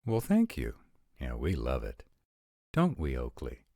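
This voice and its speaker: A man playing an eager, awkward male dragon welcoming people in a fantasy audio roleplay, with excitable, informal phrasing. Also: a bass voice, unhurried, gravelly, no room sound, studio quality, exaggerated voice. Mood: cheerful, welcoming.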